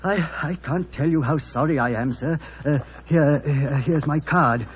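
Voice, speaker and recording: An actor playing an apologetic, obedient husband in an old radio drama, earnest and a little hesitant. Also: male voice, on the high side, moderate pace, slightly rough. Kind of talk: 1940s radio drama acting. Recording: old 1940s radio recording.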